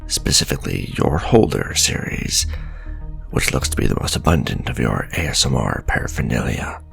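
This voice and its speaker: A man playing a stern, menacing government agent in an ASMR roleplay, speaking in a pompous, deliberate, accusatory way, half whispered. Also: very animated, a bit bright, soft background music, full character voice. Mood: stern, accusing. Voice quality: deep, gravelly, breathy near-whisper.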